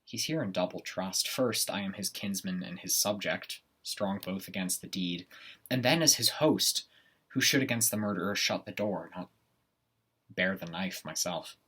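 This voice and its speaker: Casting: male performer as an ambitious, haunted Shakespearean noble, very deep and rough-edged, weighty theatrical delivery for a stage monologue. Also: sharp tone, heavily acted. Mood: conflicted brooding. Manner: theatrical, deliberate Shakespearean soliloquy with weighted pauses.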